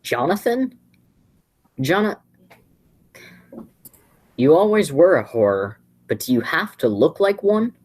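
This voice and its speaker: Teenage girl as low, animated, sardonic young man. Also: takes it slowly, long pauses, exaggerated voice. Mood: incredulous. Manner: theatrical, sardonic. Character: exasperated, sardonic young man, comic romantic lead. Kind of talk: amateur radio play acting.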